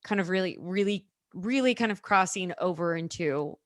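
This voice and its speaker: A woman in her thirties, co-hosting a podcast, speaking hesitantly with many ums and pauses. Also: on the low side, no room sound, clean studio sound. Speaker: podcast co-host. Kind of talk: casual podcast chat.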